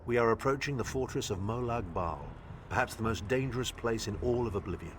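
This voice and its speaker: An actor playing a grim, proud companion to a hero in a video game, speaking bluntly and solemnly. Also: male voice, mid-range voice, fairly quick, slight background noise, natural voice. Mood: solemn warning.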